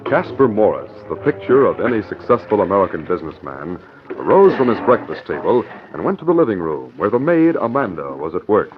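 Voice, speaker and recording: A man's voice: on the low side, big pitch swings, a little fast, over music. A man narrating a 1940s radio drama in a dramatic, declamatory announcer's style. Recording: muffled old radio broadcast, faint noise.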